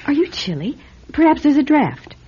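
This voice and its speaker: An actress playing a solicitous woman friend in a radio drama, gently reassuring and coaxing. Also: high-pitched, big pitch swings, moderate pace. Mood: gentle concern. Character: soothing, solicitous woman friend of troubled widow. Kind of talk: old-style radio drama acting. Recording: dull radio recording with music.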